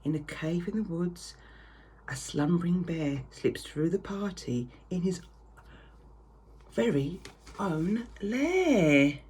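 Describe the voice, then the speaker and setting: A woman reading a picture story aloud to children with theatrical, drawn-out emphasis. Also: on the low side, lively intonation, a little mellow. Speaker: primary school reader. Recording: clear background noise.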